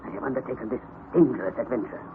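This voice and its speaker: A man's voice: medium pitch, very fast, lightly acted. An actor playing a stubborn, fiercely determined older male professor in an old radio drama, shifting between tender murmurs and stern commands. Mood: defiant. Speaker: uncle on an expedition. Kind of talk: 1930s-40s radio drama acting. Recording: tinny old radio recording, faint hiss.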